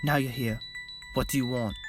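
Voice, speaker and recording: A reader voicing a hot-tempered young male pop singer, talking low and quiet, with music behind. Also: fairly even intonation, normal speed, close to an everyday voice. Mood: irritated. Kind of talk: reading fan fiction aloud.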